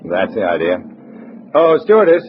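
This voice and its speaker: An actor with an American accent playing a cool undercover agent in a 1950s radio drama, narrating in a clipped, wry way. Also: male voice, medium pitch, huge pitch swings, moderate pace, noisy background. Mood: smooth, confiding. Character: cool undercover agent narrating his double life as communist. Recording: dull vintage radio, music behind.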